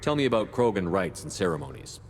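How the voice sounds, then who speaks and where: A man playing a direct, even-tempered military commander in a video game, asking plain questions. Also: deep voice, fast, a little hiss or hum. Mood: curious, direct.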